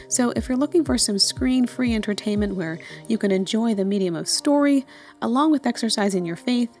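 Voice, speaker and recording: A woman in her thirties reading a scripted podcast introduction in a clear, presentational way. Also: medium pitch, a little mellow. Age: 30s. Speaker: podcast host. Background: music.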